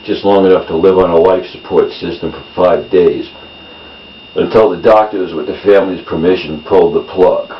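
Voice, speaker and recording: Deep-voiced man reading poetry, distant and roomy. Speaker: poet. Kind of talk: poetry reading, reading own poems with short spoken introductions. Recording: roomy, distant mic, dull sound, faint noise.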